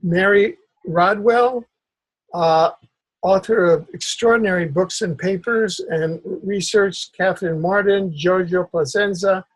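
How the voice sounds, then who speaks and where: An older man hosting a conversational interview, speaking in a halting, deliberate way. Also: high voice, rough recording. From Cuba. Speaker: interview host.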